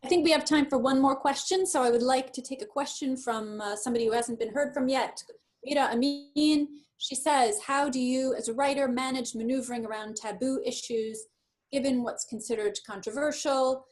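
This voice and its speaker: A woman with a fairly high voice moderates an online panel. She reads out audience questions in an orderly, clear way. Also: moderate pace, a little mellow.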